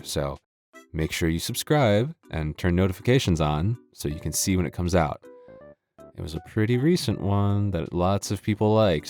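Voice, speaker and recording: A man's voice: sits low, a little mellow. A man chatting casually as an audio creator, rambling with dry, self-deprecating humor. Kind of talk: casual, joking outro chat.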